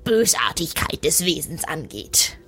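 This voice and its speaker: Female role-player as the aunt. Bright, sharp tone, lively melodic intonation, improvised feel. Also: low-pitched, measured pace, soft background music. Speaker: player in a tabletop role-playing game. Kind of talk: improvised in-character talk as an aunt.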